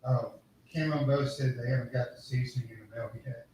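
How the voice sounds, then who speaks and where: A man's voice: mid-range voice, steady tone, fairly quick, a little mellow, slight background noise. Man off-mic, dry short jokes, distant echoey kitchen. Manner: dry, brief teasing remarks. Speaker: host's husband.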